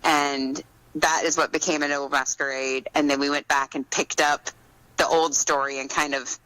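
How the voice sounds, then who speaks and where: A woman with a low voice and a bright, forward edge to it. She speaks as a guest author, answering in a conversational interview style. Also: level tone, moderate pace, smooth, no echo, poor audio.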